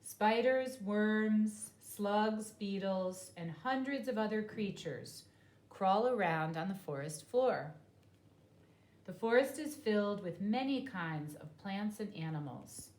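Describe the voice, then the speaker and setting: A woman reading a children's nonfiction book aloud like a teacher, in a deliberate, clearly phrased manner. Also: medium pitch, lively intonation, darkish tone.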